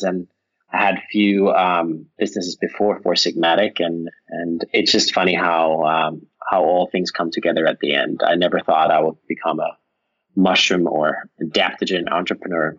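A man from Finland speaks as an interview guest, and his voice sits very low. He talks in a loose, rambling way, with plenty of ums and restarts. The recording sounds a little muffled and lo-fi. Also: normal speed. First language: Finnish. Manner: relaxed, rambling with fillers and restarts. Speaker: company founder, guest. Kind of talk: casual interview answers.

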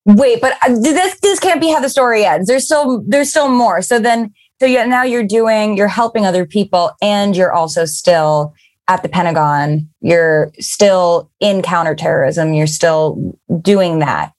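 A woman host who keeps the conversation moving with curious, prompting questions. Her pitch sits in the middle range. The recording is dry and close. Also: measured pace, darkish tone, no echo. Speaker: interviewer, host. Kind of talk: casual interview.

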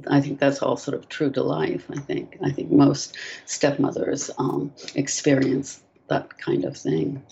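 Gravelly older woman on a lo-fi call. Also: rumbling low, very animated, unhurried, a little hiss or hum. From France. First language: French. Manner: soft-spoken, hesitant, many ums. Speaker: author, guest. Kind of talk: relaxed interview answers. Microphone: video call. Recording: lo-fi video call audio.

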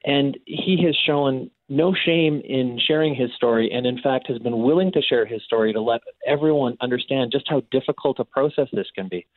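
Earnest Canadian man, halting delivery. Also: mid-range voice, no room sound, narrow, tinny audio. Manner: earnest, halting, often repeats words for emphasis. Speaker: interview guest, abuse survivor and advocate. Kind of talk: earnest radio interview answers.

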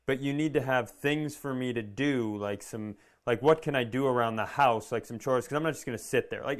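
Man, podcast co-host, mid-range voice, casual comic chat, self-deprecating, acts out quotes. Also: mid-range voice.

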